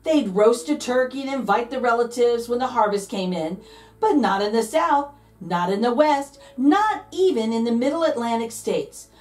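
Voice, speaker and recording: A woman's voice: on the high side, animated, normal speed, a little mellow, recorded from a distance. A woman reading a picture book aloud to children, dramatic and playful, drawing out key words for emphasis. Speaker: read-aloud host, storyteller.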